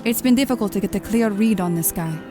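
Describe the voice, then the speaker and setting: A woman's voice: mid-range voice, quick pace, music in the background, lightly acted. A woman acting a self-assured, teasing crime boss in an audio drama, with a sardonic delivery. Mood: businesslike. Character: cool, teasing female crime boss who hires killers.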